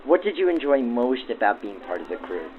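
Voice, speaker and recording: A man's voice: mid-range voice, very animated, a little fast, narrow, tinny audio, a little hiss or hum. A man hosting in the style of 1940s radio, narrating and interviewing through a vintage radio filter. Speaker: host and interviewer. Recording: thin old-time radio sound, music behind.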